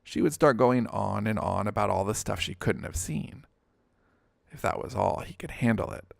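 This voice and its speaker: A man reads scripted fiction as a narrator. His voice sits fairly low with heavy fry in it, and the recording is close and dry. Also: moderate pace, no room sound. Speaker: audiobook narrator.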